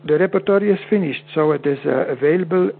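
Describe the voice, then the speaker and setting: A man with a Dutch accent whose first language is Dutch, speaking as a guest in an interview over a call, halting and often repeating words. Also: on the high side, creaky, slightly rough, soft background music. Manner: halting, repeats words, non-native phrasing. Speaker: guest homeopath. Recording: tinny phone-like line with hum.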